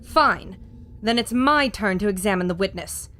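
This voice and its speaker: A woman's voice: mid-range voice, animated, normal speed, pushed and bright, a little hiss or hum. An actress playing a loyal, spirited young woman adventurer, earnest and emphatic, in a pulp audio drama. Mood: indignant, earnest.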